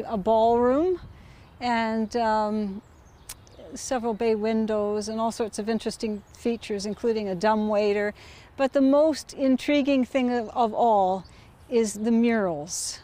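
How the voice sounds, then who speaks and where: Soft-spoken woman giving a street tour. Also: fairly high pitch, fairly even intonation, a little slow. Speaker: interviewee. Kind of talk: casual tour-guide explanation.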